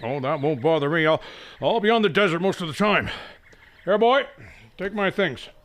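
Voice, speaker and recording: Guy doing a fussy middle-aged professor, radio drama, high n animated, chatty know-it-all. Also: normal speed. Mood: breezy, pedantic. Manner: chatty, pedantic. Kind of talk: audio drama acting of a 1930s mystery.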